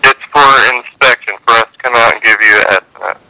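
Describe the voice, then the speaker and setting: A man's voice: mid-range voice, fast, clear, resonant tone, no room sound. A man on a telephone line handling a customer service call in a matter-of-fact, firm way. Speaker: cleanup company phone representative. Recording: dry, sharp telephone line.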